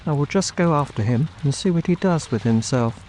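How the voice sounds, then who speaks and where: A man's voice: on the high side, animated, brisk, a little mellow, a little hiss or hum, natural voice. A man playing a bold, hot-tempered young navy lieutenant and loyal son in a dramatic audiobook. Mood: defiant. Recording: faint rain ambience behind.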